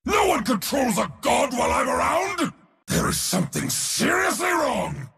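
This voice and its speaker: Imperious war god, video game villainy, wildly swooping pitch, gravelly edge, boastful taunts, merciless temper. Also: male voice, wildly swooping pitch, normal speed, pushed and bright, raised voice, full character voice. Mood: furious command. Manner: imperious, taunting, theatrical declamation. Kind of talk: video game character voice lines.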